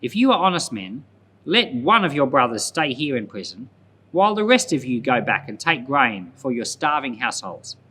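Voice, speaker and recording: A man's voice: medium pitch, sing-song, moderate pace, sharp tone, husky, full character voice. A man voicing a stern, accusing governor in a scripture story, with a harsh, commanding manner. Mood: firm. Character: stern, accusing male governor hiding who he is.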